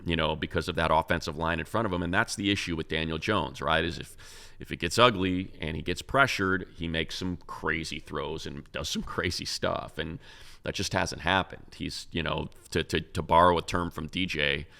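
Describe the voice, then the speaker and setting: A man with a very deep voice full of gravelly fry talks football as a show's co-host. His tone has a bright, forceful edge. He rambles through animated analysis, often restarting his sentences. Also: normal speed. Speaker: radio play-by-play announcer, co-host. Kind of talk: casual sports talk.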